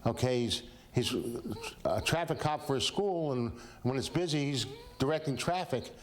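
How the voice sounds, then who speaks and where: Older American male expert, medium pitch, informal explanatory interview delivery. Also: expressive, moderate pace. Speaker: retired aviation safety investigator.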